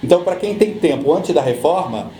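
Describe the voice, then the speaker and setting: A middle-aged Brazilian man, a guest on a podcast, who explains pension rules patiently and often repeats his points to calm the listener. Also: on the high side, fairly quick, reverberant, soft background music. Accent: Brazilian Portuguese. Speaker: social security law guest.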